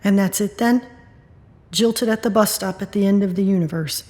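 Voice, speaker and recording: A woman's voice: mid-range voice, moderate pace, darkish tone, natural voice. An actress playing a wry, wounded, stubborn middle-aged woman in an audio drama, with a sardonic, wisecracking way of talking. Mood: wry, bitter. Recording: music under the voice.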